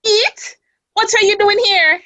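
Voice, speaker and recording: An actress playing a fiery, bitter wronged wife with a Caribbean accent in a radio drama. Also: female voice, extremely high pitch, slow, sharp tone, no echo, dull audio, heavily acted. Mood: alarmed.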